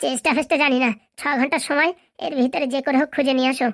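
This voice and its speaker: Woman playing a high-pitched, bossy cartoon boss lady. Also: fairly even intonation, racing, no room sound, studio quality. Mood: stern, threatening. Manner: scolding, commanding. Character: bossy, threatening female boss in a comedy cartoon. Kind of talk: Bengali comedy cartoon dialogue.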